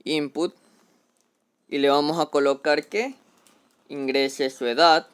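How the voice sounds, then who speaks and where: Young male tutor, screen-capture lesson, patient step-by-step delivery, slightly high clean voice, dry close mic. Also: steady tone, slow, a bit bright, clear, resonant tone, dry recording. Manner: step-by-step explanatory, talks through each action. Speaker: programming tutor. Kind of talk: screen-recorded coding tutorial.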